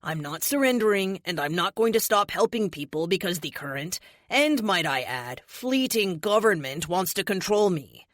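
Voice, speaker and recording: A woman voicing a grinning, unyielding ancient male warrior hero, calm and firm with a light amusement in her delivery. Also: medium pitch, moderate pace, no echo, studio quality, natural voice.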